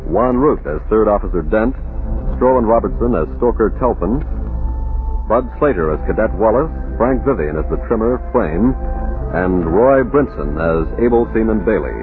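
A man announcing in a formal, measured mid-century radio style, reading from a script. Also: on the low side, animated. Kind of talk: 1940s-50s radio announcing, scripted credits. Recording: narrow-band old radio broadcast with music. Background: music.

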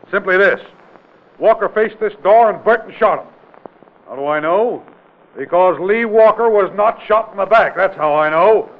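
A man's voice: high-pitched, natural voice. Man playing a commanding radio-drama investigator, tinny vintage recording. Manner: authoritative, brusque, insistent rhetorical questioning. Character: forceful, commanding male state investigator exposing a killer. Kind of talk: 1940s-50s radio drama acting.

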